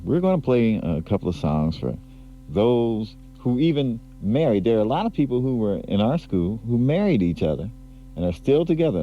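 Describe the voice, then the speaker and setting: A man's voice: lowish voice, slight background noise. A man DJing on the radio, chatting casually, rambling and laughing between records. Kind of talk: casual on-air DJ talk. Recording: muffled 1980s AM/FM aircheck tape.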